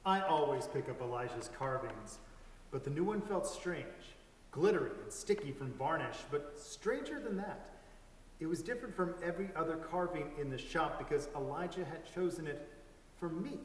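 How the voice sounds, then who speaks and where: Animated male story reader, echoey hall. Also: fairly high pitch, moderate pace. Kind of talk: dramatic story reading. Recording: echoey hall, distant mic, background hiss.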